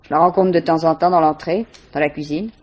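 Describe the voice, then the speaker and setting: An actress playing a guarded, disdainful young student, curt and defensive, in a 1950s French radio mystery. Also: female voice, deep voice, steady tone, a little fast, darkish tone.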